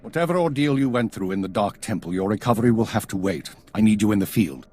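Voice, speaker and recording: A male actor playing a commanding, weary middle-aged intelligence chief in a video game, husky and brisk. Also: lowish voice, expressive, mellow. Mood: stern, businesslike. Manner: brisk, authoritative.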